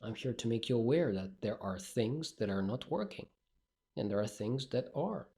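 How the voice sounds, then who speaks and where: A man's voice: medium pitch, animated, normal speed, warm tone. A male speaking coach, not a native English speaker, talking bluntly and directly in a solo podcast monologue.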